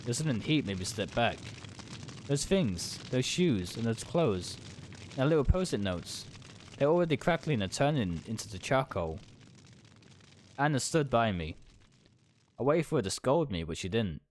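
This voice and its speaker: A man's voice: mid-range voice, big pitch swings, moderate pace, long pauses, slight background noise. A man reading a visual novel's narration aloud on a livestream, stumbling over and rereading some lines. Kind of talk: live read-aloud of narration with asides. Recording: music behind the voice. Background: game music.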